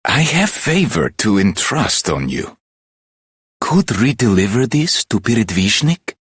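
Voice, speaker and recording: An earnest game villager with a husky voice. His pitch swings very widely as he speaks slowly in formal, broken phrases. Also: fairly bright tone, no echo, clean studio sound, heavily acted. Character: earnest village man speaking broken, foreign-styled English.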